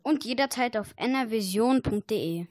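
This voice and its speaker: A woman's voice: a little high, animated, normal speed, darkish tone, no room sound, clean studio sound. A woman co-presenting a radio show in a scripted sign-off style.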